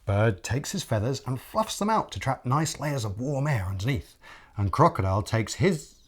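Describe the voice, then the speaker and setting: A man reading a picture book aloud as an expressive children's storyteller, deliberate, with dramatic pauses. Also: mid-range voice, slightly rough.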